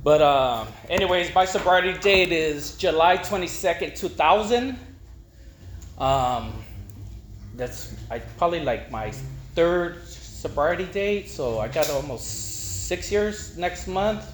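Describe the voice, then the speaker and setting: A middle-aged man gives an informal personal testimony, rambling and haltingly repeating words. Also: fairly high pitch, animated. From East Los Angeles, United States. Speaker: speaker at a recovery meeting. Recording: echoey room with faint noise.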